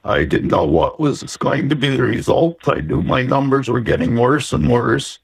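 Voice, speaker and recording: A man's voice: medium pitch, expressive, moderate pace, gravelly, breathy edge. An older man recalling his own experience in a casual, plainspoken way. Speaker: interview guest, transplant recipient. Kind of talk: casual personal recollection.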